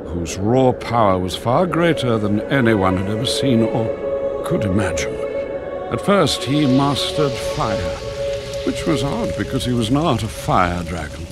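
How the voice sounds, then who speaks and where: An older man voicing an ancient, wise dragon keeper of books in a video game, speaking gravely and theatrically with drawn-out pauses. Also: fairly low pitch, sing-song. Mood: ominous storytelling. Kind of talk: video game cutscene acting, solemn storytelling. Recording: loud music behind the voice. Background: loud cinematic game music.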